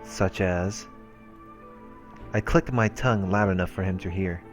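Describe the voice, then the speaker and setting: A man with a very low voice reads a visual novel aloud for a let's play, slipping between narration and offhand comments. Faint music plays behind him. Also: a little slow. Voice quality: low-pitched. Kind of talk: read-aloud narration with casual asides. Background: game music.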